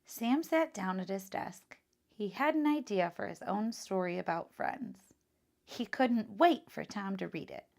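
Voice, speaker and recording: A woman with a medium-pitched voice and noticeable vocal fry. She reads like a teacher sharing a picture book with children. Her delivery is expressive and instructive. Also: measured pace. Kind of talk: expressive children's read-aloud with casual teaching asides.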